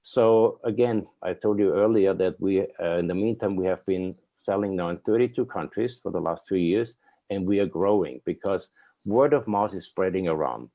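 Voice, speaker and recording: Austrian man, low mellow voice. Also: moderate pace, lo-fi sound. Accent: Austrian German. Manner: earnest, rambling, many fillers. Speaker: guest, company owner. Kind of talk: interview storytelling.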